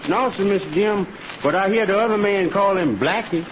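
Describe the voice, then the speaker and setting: Ranch helper, played by a man: high voice, humble, folksy, noisy vintage recording. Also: normal speed, natural voice. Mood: eager, helpful. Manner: folksy, deferential. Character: simple, deferential ranch hand. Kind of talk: old-time radio Western acting. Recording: noisy, muffled old radio recording.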